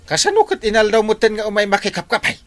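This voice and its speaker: A man's voice: high-pitched, sing-song, fast talker, a bit bright, faint music behind. A man acting a fed-up, grumbling village husband in a comedy radio drama, with an Ilocano accent.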